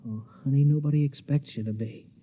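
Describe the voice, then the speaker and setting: An actor playing a devoted, reassuring space pilot husband in a gentle, earnest 1950s radio drama style. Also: male voice, medium pitch, brisk, natural voice. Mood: reassuring, tender. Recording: muffled 1950s radio recording.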